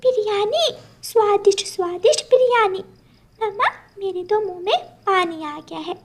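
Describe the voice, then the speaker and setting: Woman voicing a high-pitched childlike little girl bird. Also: moderate pace. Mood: eager, hungry. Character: little girl bird, poor, innocent and wistful.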